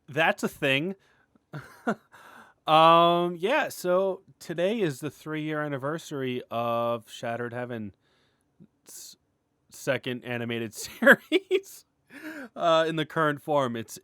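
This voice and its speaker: A man giving a casual, unscripted update as an animator, rambling and halting as he restarts his sentences, informal and candid. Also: mid-range voice, a bit bright, no echo, clean studio sound. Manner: rambling, halting, self-interrupting, often chuckling and clearing throat; informal, mildly sweary, candid. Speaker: animator and web series creator.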